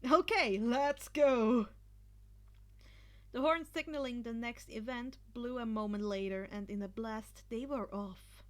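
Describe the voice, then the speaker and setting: A woman's voice: a little high, fairly even intonation, moderate pace, hardly any pauses. A woman narrating fan fiction as an amateur reader, sometimes stumbling and rereading words.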